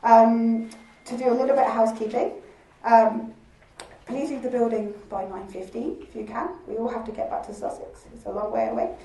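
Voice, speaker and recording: Croaky woman in an echoey hall. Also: fairly high pitch, steady tone, normal speed, dark tone. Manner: chatty, laughing, many ums. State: croaky voice from recent illness. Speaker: lab director hosting a launch event. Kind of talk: informal welcome speech. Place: large museum hall. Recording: echoey hall, speaker far from mic.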